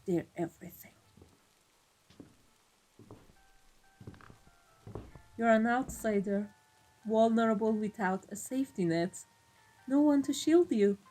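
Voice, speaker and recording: Husky, dark-voiced female vampire mafia boss, acted by a woman; cold, imperious, measured ASMR roleplay over music. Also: medium pitch, rough recording. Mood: cool menace. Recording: music behind the voice. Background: classical background music.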